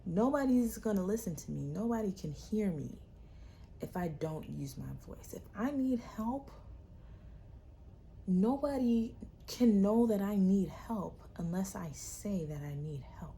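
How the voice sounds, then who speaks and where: A young woman hosting a solo podcast, talking in a sassy, emphatic way with a loud, projecting voice. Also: medium pitch, a little slow, vocal fry, a little hiss or hum. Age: young adult. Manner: animated, sassy, emphatic repetition. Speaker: podcast host. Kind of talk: casual solo podcast talk.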